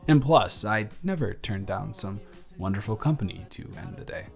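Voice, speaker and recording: A man's voice: fairly low pitch, animated, moderate pace, gravelly. A young man playing a chatty, friendly barista who is sweet on a friend, in an intimate one-sided audio roleplay with casual, slightly stammering delivery. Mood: caring, reassuring. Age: young adult. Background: music.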